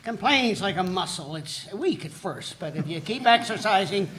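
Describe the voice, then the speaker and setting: An actor playing a cranky older man who loves complaining, crabby and scolding, in an animated comedy read. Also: high-pitched, moderate pace. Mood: crotchety. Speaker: voice actor at a live table read. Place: stage with live audience. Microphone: stand microphone.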